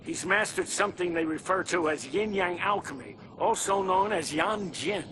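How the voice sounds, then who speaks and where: An actor playing an earnest ally and informant to a hero in a video game, measured, serious and concerned. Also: male voice, high voice, sharp tone, low-quality recording. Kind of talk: video game dialogue acting.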